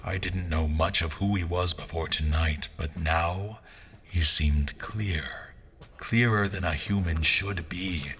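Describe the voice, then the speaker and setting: A man playing a formal, wry royal adventurer who narrates his journey in a measured audio drama storytelling style. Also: sits low, a bit bright, a little hiss or hum, exaggerated voice. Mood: measured, brooding. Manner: formal, deliberate, storyteller delivery. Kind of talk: first-person scripted narration in an audio drama.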